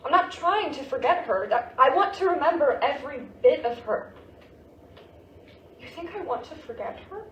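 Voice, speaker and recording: High-pitched teenage daughter, acted by a woman, echoey room. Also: expressive, normal speed, fairly bright tone. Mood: defensive, hurt. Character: earnest, frustrated teenage daughter grieving her grandmother. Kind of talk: staged play reading, naturalistic drama. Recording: roomy distant stage recording, faint noise.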